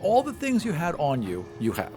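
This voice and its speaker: A man's voice: a little high, wildly swooping pitch, normal speed, a little mellow. A man acting as game master, describing scenes and explaining things in a casual, conversational way. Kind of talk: narrating a tabletop game, conversational.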